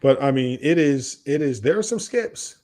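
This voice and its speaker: A man in his late forties with a medium-pitched voice co-hosts a casual podcast. He argues his opinions emphatically and often circles back to repeat a point for weight. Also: animated, moderate pace, dark tone. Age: late 40s. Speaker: podcast co-host. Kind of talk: casual music debate.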